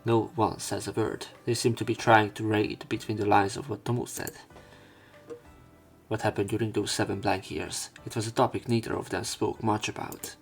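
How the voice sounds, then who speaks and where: A man's voice: lowish voice, little pitch movement, moderate pace, gravelly, slightly rough, faint music behind. A man narrating a visual novel let's play, reading the text aloud and adding his own asides.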